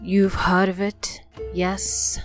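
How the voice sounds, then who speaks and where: A woman's voice: mid-range voice, completely flat delivery, very slow, soft background music. A woman playing a proud, self-assured adventurer who speaks persuasively in a fantasy audio drama. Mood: guarded.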